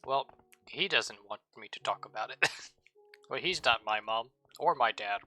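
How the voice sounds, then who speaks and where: A man with a very bright, ringing voice at an ordinary pitch, chatting casually while playing a game. He rambles and jokes, tossing off absurd asides in an easygoing way. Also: expressive, a little slow, soft background music. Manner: joking, rambling, playfully absurd. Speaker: streamer co-host. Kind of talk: casual gaming chat.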